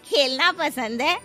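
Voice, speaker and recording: A woman's voice: sits high, bright, forceful tone, heavily acted. A woman playing a disapproving, nagging older mother-in-law in a moral story. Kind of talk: Hindi moral-story acting.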